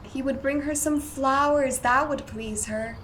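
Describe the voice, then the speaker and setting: A woman's voice: sits high, a little slow, a bit bright, a little hiss or hum, poor audio. A woman reading scripted storytelling narration for a radio play.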